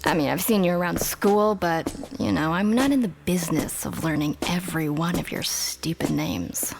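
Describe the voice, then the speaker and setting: A woman's voice: lowish voice, normal speed, gravelly, over music. A woman playing a sardonic, snappy young female demon guard in an audio drama. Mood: condescending, prying. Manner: sarcastic, exasperated, swooping.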